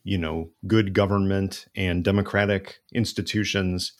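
Low-pitched man hosting an interview podcast. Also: steady tone, a little slow. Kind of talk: conversational interviewing.

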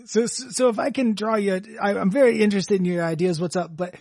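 A man's voice: high-pitched, quick pace, a little mellow. A man improvising a male game company executive who tacks a catchphrase onto every sentence. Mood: deadpan. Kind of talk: improvised comedy sketch.

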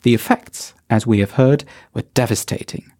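A man hosting a podcast, giving scripted narration in a measured, explanatory way. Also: mid-range voice, mellow, slight background noise. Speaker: podcast host.

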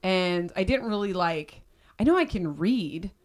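A woman with a raspy, deep voice that cuts out in spots, chatting loosely and candidly as a podcast host. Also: animated, measured pace. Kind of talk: casual chat.

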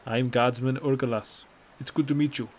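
A man's voice: mid-range voice, fairly even intonation, normal speed, darkish tone, natural voice. A man voicing a bored male city guardsman who speaks in a rehearsed, diplomatic way. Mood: relaxed.

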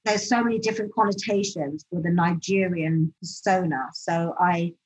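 A woman in a relaxed interview, telling stories animatedly and acting out what people said. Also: on the low side, moderate pace. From United Kingdom. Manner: animated storyteller, acts out quoted speech. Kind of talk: casual conversational interview. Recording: slightly dull lo-fi audio.

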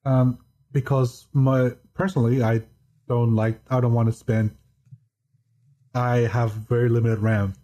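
Man, deadpan, monotone, mellow dark tone, casual podcast chat, halting. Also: mid-range voice, highs cut off. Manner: halting, restarts often. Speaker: podcast guest.

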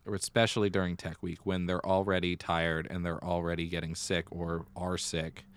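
An American man with a low, smooth voice talks casually as a podcast co-host. His delivery is wry, and the close recording has very little room sound. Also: level tone, moderate pace, no room sound. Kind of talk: casual theatre news chat.

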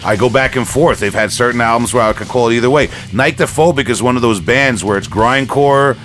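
A man's voice: medium pitch, a little fast, a bit bright. A man hosting a casual music podcast, talking in a rambling, enthusiastic fan's way. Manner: rambling, enthusiastic fan talk. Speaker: podcast host. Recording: music playing behind the voice.

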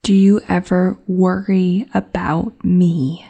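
A woman's voice: lowish voice, level tone, slow pace, creaky, dark tone, husky, exaggerated voice. A woman playing a dreamy, cryptic, unsettled young woman in an audio drama, sometimes slipping toward a whisper. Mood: needy. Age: young adult.

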